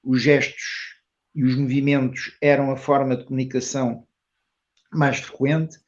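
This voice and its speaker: An older man with a European Portuguese accent answers interview questions reflectively as a teacher, in measured, carefully reworded phrases. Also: mid-range voice, flat delivery, muffled recording. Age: older, retired. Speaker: Tai Chi and Qigong teacher, interview guest.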